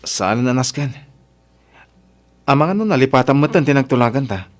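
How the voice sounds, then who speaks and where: A man's voice: medium pitch, animated, normal speed, lightly acted. An actor with an Ilocano accent playing an earnest, reserved young working man in a radio drama. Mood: doubtful, reproachful.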